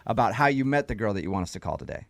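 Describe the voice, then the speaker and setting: A man's voice: fairly low pitch, lively intonation, rapid-fire, vocal fry, no room sound. A man hosting a radio show with casual, joking, teasing banter.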